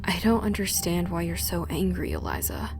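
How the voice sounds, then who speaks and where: A woman's voice: lowish voice, wildly swooping pitch, normal speed, gravelly, darkish tone, soft background music, heavily acted. A woman playing a stern, defensive commander in an amateur anime-style audio drama. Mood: angry, defensive.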